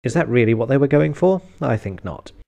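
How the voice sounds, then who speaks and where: A British man giving a scripted review narration in a deadpan, sardonic, sweary manner. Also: medium pitch, brisk, creaky, muffled recording. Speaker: film reviewer.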